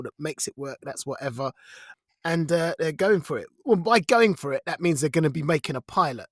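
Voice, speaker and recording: A middle-aged man with a fairly high-pitched voice co-hosts a podcast. He talks in casual, bantering news chat. The sound is very dry, with no room echo. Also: a bit bright. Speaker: podcast co-host.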